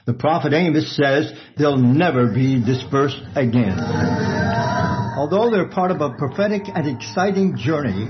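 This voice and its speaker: A male television reporter narrating a news feature in a steady, earnest way. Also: a little high, unhurried, muffled recording, a loud crowd. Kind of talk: scripted news narration and interviews.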